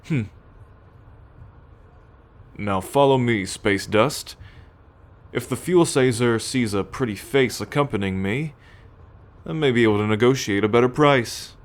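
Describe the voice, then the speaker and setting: A man playing a possessive, haughty alien soldier in an ASMR roleplay, speaking in a measured, imperious way with musing hums and sighs. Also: medium pitch, natural voice. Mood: commanding, possessive. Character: possessive male alien soldier, haughty, teasing, coolly menacing captor. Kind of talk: ASMR roleplay acting, addressed to the listener.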